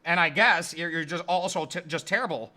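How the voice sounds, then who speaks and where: An anxious cartoon housemate, played by a man, pitched down low. He is hesitant and stammering, mildly disapproving of everything around him. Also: a little fast, sharp tone, a little hiss or hum.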